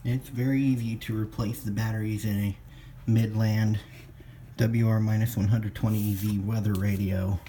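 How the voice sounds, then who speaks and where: A man presenting a casual step-by-step product demonstration in a halting, plain-spoken way. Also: on the low side, little pitch movement. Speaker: presenter of a how-to video. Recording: clear background noise with clicks.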